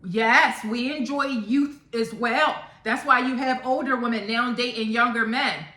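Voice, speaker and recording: A woman's voice: on the high side, fairly even intonation, a little slow, pushed and bright. A woman in her thirties giving a casual opinion monologue to viewers, blunt and emphatic, often repeating points for emphasis. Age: 30s. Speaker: topic channel vlogger. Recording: echoey room.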